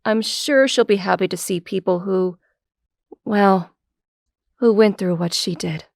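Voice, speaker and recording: A woman's voice: mid-range voice, measured pace, gravelly, darkish tone, dry recording, clean studio sound. A woman playing a gushing, insistent, protective mother of a teenager in an audio drama. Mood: gushing, hospitable.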